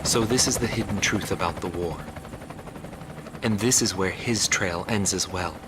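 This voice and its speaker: An actor playing a reflective journalist narrating a war documentary in a measured, solemn way. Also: male voice, low-pitched, background noise, natural voice.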